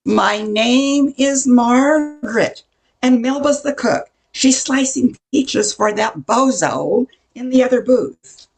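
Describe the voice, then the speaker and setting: Older lady acting sassy diner waitress, kinda high voice with some creak, blunt and easily annoyed, radio play. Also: expressive, slow. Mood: indignant, scolding. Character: brassy, short-tempered roadside diner waitress Margaret.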